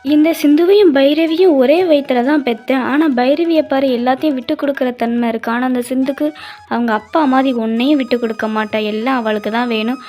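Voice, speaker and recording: A woman acts as an exasperated cartoon mother with a highish voice. She talks emphatically, like a parent managing two squabbling daughters. Soft music plays behind her. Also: fairly even intonation, a little fast, lightly acted. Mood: worried. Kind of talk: dramatized animated moral story.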